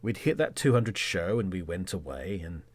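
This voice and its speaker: Man, podcast host, deep low pitch, reflective monologue, measured conversational delivery, short phrases. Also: lively intonation, no echo.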